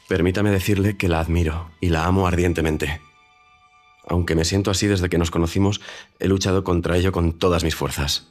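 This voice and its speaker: An actor playing a proud, reserved young gentleman who earnestly and formally declares his love in a period audio drama. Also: sits low, natural voice.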